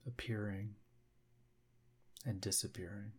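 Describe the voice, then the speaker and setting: A man leading a guided meditation, speaking gently and unhurriedly in short phrases with long pauses. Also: lowish voice, steady tone, breathy edge.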